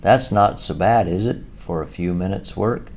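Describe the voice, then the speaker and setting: A man voicing an excitable, boastful male toad in a storybook reading. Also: normal speed. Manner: brash, boastful, rising to shrill.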